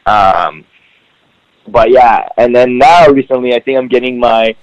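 A man's voice: medium pitch, measured pace, no echo, lo-fi sound. A male wrestler whose first language is Farsi chats loosely as a podcast guest, his mid-range voice wandering through fillers and restarts. The sound is dry and close, with no room around it. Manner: rambling, full of "like" and "um" fillers. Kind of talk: casual podcast interview.

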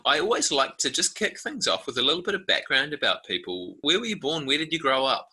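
A man's voice: fairly high pitch, very animated, fairly quick, sharp tone, breathy edge. A man, hosting a podcast interview and asking upbeat, friendly questions. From New Zealand. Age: 30s. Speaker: podcast host.

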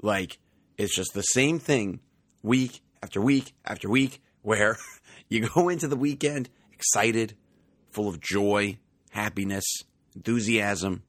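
Guy hosting a sports podcast, a bit raspy, lowish voice, chatty and rambling, super dry close mic. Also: slow, no room sound. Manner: chatty, conversational, many fillers. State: slightly raspy voice. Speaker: podcast host. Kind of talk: casual sports podcast monologue.

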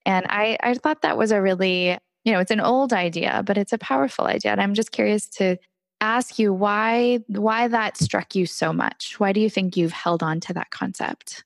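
A woman hosting a podcast, asking thoughtful, reflective questions in a relaxed interview conversation. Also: medium pitch, moderate pace, vocal fry, no room sound. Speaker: podcast host.

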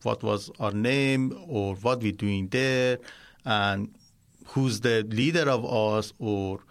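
A man from Iran with a Persian accent, a guest in a radio studio interview, speaking in halting, simple phrases. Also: fairly low pitch.

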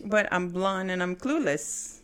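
A woman's voice: on the low side, a little slow, a bit bright. A woman in her thirties whose first language is not English, telling a self-mocking story and laughing often while co-hosting a casual podcast chat. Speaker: podcast co-host.

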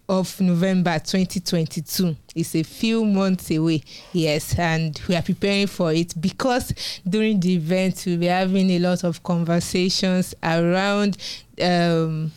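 Woman, Nigerian accent, young adult, podcast co-host, chatty, lowish voice, dry close sound. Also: a little mellow, clear, resonant tone, no room sound. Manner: chatty, laughs often while promoting an event. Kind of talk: casual podcast chat.

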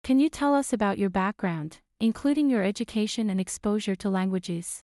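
A woman hosting a podcast, reading a scripted introduction and asking interview questions in a clear, even way. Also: mid-range voice, brisk, no room sound, studio quality. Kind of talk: scripted narration.

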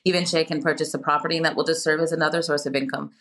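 Woman in her forties, quick animated delivery, laughs a lot; podcast guest. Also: low voice. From United States, near Mexico. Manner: animated, laughs often, emphatic. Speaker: guest, solo traveler. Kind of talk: casual podcast interview.

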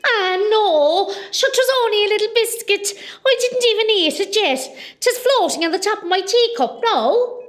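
Chatty, dramatic West Cork woman patient played by an actress, very high, swooping delivery, muddles her words, radio comedy. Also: animated, normal speed, hardly any pauses. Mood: flustered, pleading. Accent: West Cork Irish. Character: nervy, cheeky, gossipy Irish woman patient, hungry smoker. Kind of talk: amateur comedy radio play acting.

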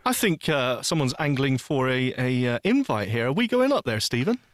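A man's voice: mid-range voice, big pitch swings, normal speed, dry recording, clean studio sound. A man co-hosting a podcast, trading teasing quips in casual banter. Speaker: podcast co-host.

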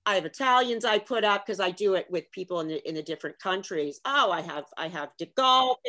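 Middle-aged woman author, chatty and animated, mixes in italian words, regular pitch. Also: fairly quick. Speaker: author guest. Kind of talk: casual video chat.

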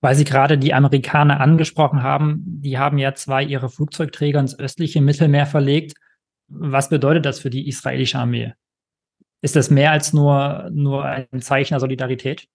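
Male podcast reporter, level monotone, measured and formal. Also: mid-range voice, creaky. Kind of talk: interview questions.

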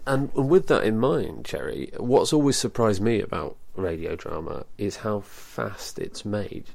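A man's voice: on the low side, animated, unhurried, gravelly, darkish tone, no echo. A young man with a British accent, asking wordy, thoughtful questions as a podcast interviewer. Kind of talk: conversational interview.

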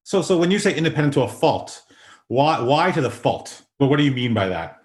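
A man's voice with noticeable room echo, hosting an informal interview. He is from South Africa. He talks in a casual, halting way, often doubling back on his phrases, at an average pitch. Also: sing-song. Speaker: podcast host. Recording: roomy, echoing sound.

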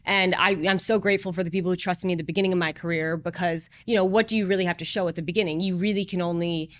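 A woman's voice: on the low side, steady tone, racing. A woman in her thirties, a real estate agent, talking through her career in an earnest, reflective way with plenty of ums and restarts. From moved from Singapore to Las Vegas as a child. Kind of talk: casual interview conversation.

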